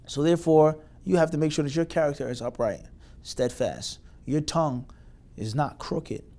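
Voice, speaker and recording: A man's voice: a little high, darkish tone. A man from the United States, a mufti, giving graduates an emphatic spoken lecture with long rhetorical lists and Arabic religious terms.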